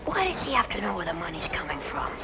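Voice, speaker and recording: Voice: medium pitch, huge pitch swings, quick pace. An actor playing an earnest, guilt-ridden young ranch boy in an old-time western drama, on a dull, noisy recording. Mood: guilty, guarded.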